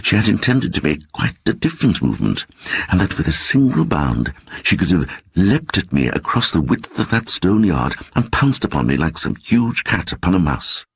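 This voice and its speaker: A timid, apologetic English gentleman, voiced by a male audiobook reader confides an uncanny experience. The voice is husky and creaky, with a hesitant, confiding manner. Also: deep voice, sing-song, moderate pace, no echo, pitched above the performer's own voice, exaggerated voice. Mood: uneasy, confiding.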